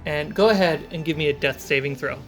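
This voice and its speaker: Game master for a tabletop fantasy campaign, medium pitch, music underneath. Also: fairly quick, rough recording.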